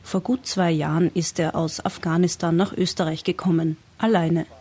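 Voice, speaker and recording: Woman narrator for a radio feature, husky and a touch low, measured reads. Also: steady tone, darkish tone. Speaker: radio reporter. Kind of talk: scripted narration.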